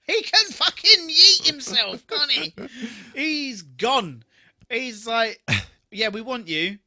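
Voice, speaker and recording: Very bright, animated podcast voice. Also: high-pitched, slow, no room sound. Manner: blunt, sweary, animated. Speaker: podcast co-host. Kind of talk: casual TV episode recap chat.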